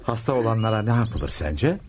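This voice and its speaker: Deep, fatherly religious master. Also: sing-song, a little mellow. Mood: tender, solemn. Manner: solemn, fatherly, preaching in measured phrases. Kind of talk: radio theatre acting. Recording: noisy radio play recording.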